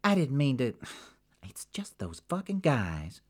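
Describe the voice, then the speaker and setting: A man voicing a brash, loud-mouthed woman with a faint Texan accent, blunt and nosy, swearing freely. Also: medium pitch, wildly swooping pitch, a little slow, voice pushed up.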